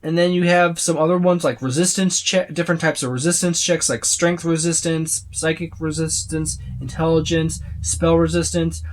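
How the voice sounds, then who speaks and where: A man's voice: on the high side, little pitch movement, normal speed, hardly any pauses. A man giving a casual, unscripted walkthrough as a reviewer, rambling and listing items as he browses. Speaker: tabletop game book reviewer.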